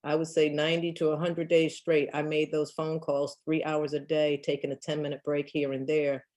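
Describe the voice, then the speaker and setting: A woman's voice: low-pitched, flat delivery, moderate pace, a little mellow. A woman in her fifties, a real estate agent answering questions in an emphatic storytelling way with frequent laughs. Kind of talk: casual storytelling Q&A.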